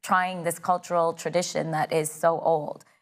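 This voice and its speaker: A woman's voice: low voice, level tone, normal speed. A woman in her thirties from Canada, an actress chatting casually as an interview guest, upbeat and laughing often with many fillers.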